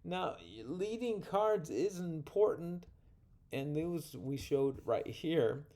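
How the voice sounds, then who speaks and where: Male tutorial presenter, slow delivery with vocal fry, informal, folksy and playful. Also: a little high, dark tone. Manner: folksy, playful, with exclamations and rambling asides. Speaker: card game instructor and presenter. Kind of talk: informal tutorial narration walking through card hands.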